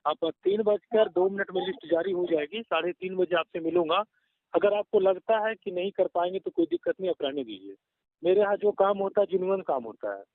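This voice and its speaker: A man's voice: sits high, lively intonation, fairly quick, no room sound. A man talking in a phone call recording in a pushy, curt and insistent way. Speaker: caller soliciting payment for a job listing. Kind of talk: phone conversation.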